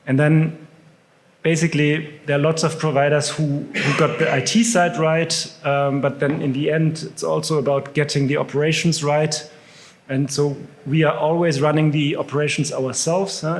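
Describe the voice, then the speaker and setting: German-accented male speaker, conference talk, halting delivery with lots of ums. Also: a little high. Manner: hesitant, many fillers. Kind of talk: stage presentation.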